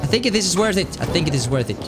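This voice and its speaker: A man with a light, high voice chats animatedly through a live game stream. His pitch jumps up and down as he rambles and laughs, and music plays behind him. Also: big pitch swings, fast. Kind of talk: casual live-stream chat while playing. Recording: music playing underneath.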